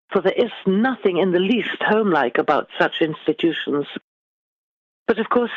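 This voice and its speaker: A middle-aged British woman, an author giving measured, formally phrased answers in a literary interview. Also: lowish voice, no echo, muffled recording. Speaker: guest author.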